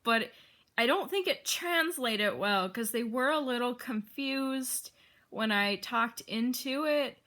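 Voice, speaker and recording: A woman's voice: fairly high pitch, lively intonation, slow, sharp tone. A young woman from Arizona with a slight Wisconsin accent, rambling casually in a vlog, laughing often and using lots of fillers. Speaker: vlogger.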